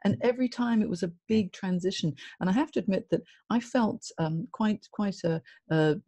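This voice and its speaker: A middle-aged woman from Hong Kong hosts a relaxed video call as a career coach. Her voice is mellow and a touch husky, and the dry close sound puts her right at the mic. She talks expressively and acts out little quotes. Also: mid-range voice, normal speed, no echo. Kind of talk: casual video call conversation. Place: home office with plants. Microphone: video call, studio microphone in frame.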